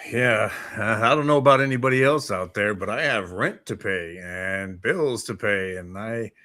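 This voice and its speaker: A man's voice: on the low side, normal speed, sharp tone, no room sound. A man co-hosting a chat show in a casual, conversational way. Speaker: co-host of a morning chat show.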